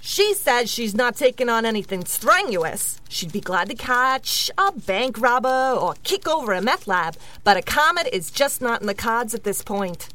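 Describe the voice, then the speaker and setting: An actress playing a frank, skeptical mayoral aide in a comedy audio drama, dishing gossip matter-of-factly. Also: female voice, fairly high pitch, big pitch swings, moderate pace, few pauses, pushed and bright, a little hiss or hum. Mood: doubtful, gossipy.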